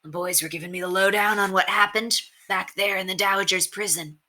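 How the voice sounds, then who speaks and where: A woman voicing a steely, measured male bandit king in a storybook, with deliberate, folksy phrasing. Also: medium pitch, steady tone, sharp tone, natural voice. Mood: approving.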